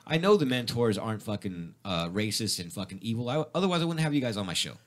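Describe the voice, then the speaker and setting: A man's voice: on the low side, big pitch swings, quick pace. Host type, middle-aged guy, Spanish first language, loose and profane, talks in restarts. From Mexican heritage, grew up speaking Spanish. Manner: rambling, swears freely, many restarts. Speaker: radio show host. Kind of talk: casual profane radio chat. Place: radio studio.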